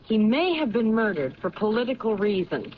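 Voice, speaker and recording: A persistent female police lieutenant played by a radio actress, probing and deliberate, with a mid-pitched, animated voice. The sound is dull vintage radio with faint noise. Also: close to an everyday voice. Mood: urging. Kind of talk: old radio drama acting. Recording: muffled, noisy 1970s radio recording.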